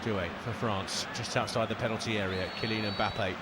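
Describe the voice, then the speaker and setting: A man's voice: on the low side, fairly even intonation, normal speed. A man giving live play-by-play commentary on a football match as the commentator. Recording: loud noise behind the voice.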